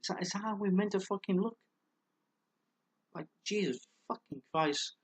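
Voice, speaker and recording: A man's voice: sits high, moderate pace, breathy edge. Rambling, swearing man ranting to camera. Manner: rambling, hesitant, with many fillers and repeated words; swears a lot and gets heated. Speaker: vlogger.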